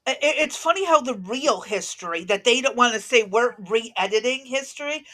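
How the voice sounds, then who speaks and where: A woman with a fairly high-pitched voice talks casually as a political show host. Her delivery is blunt and combative, and she swears freely. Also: animated, moderate pace, a bit bright.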